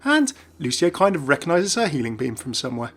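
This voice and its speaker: Casting: male gaming video narrator, enthusiastic scripted read, brisk, on the higher side. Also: very animated, a bit bright.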